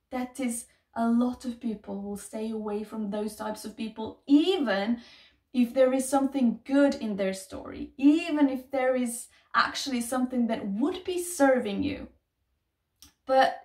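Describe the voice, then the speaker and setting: A woman's voice: on the high side, darkish tone. A woman in her thirties, not a native English speaker, giving an emphatic, repetitive motivational talk to camera in a bedroom. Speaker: self-help vlogger. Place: small bedroom, indoors. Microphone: camera a few feet away. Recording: echoey room, distant microphone.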